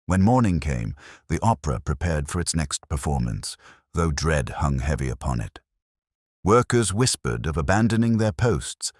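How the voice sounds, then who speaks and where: A man narrating a period mystery audiobook in a solemn, theatrical way with deliberate phrasing. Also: very deep voice, sing-song, dry recording, studio quality.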